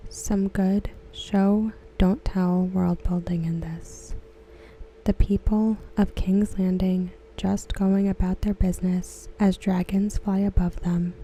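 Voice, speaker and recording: A woman reading aloud softly for ASMR, gentle and hushed, with a breathy voice that often slips into a whisper. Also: mid-range voice, flat delivery, slow pace, slight background noise, poor audio. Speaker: ASMR host. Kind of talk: ASMR, soft-spoken reading of discussion comments.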